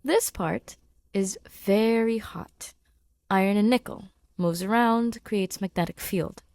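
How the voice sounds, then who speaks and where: A woman voicing an eager, friendly human male science teacher, animated and encouraging, in a fan fiction audio reading. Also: medium pitch, takes it slowly, no echo. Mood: earnest, explaining. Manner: animated, encouraging classroom delivery.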